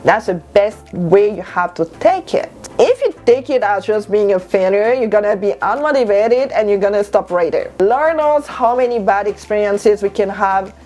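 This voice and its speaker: A woman of about thirty whose first language is French, giving an animated motivational talk to camera in her bedroom and repeating lines for stress. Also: medium pitch, moderate pace, few pauses. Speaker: lifestyle vlogger. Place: bedroom at home, indoors.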